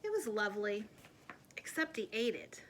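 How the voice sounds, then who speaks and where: A woman reading a children's story aloud in an expressive, animated storytelling manner. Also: medium pitch, normal speed, a little hiss or hum. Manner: animated, putting on character voices. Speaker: read-aloud storyteller, teacher.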